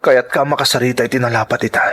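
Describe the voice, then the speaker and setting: A man acting in an emotional drama as an earnest lover who pleads slowly to part. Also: mid-range voice, fairly even intonation, fairly bright tone, natural voice. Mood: pleading, apologetic. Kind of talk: Ilocano radio-style drama acting.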